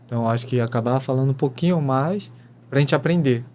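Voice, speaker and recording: A man's voice: mid-range voice, fast, a little mellow. A man co-hosting a podcast, explaining things earnestly in casual chat. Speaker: podcast co-host.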